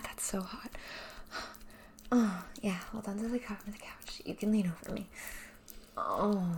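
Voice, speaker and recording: A woman speaking straight to the listener in a soft, breathy, often whispered voice, dominant and teasing in an intimate audio roleplay. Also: mid-range voice, slight background noise.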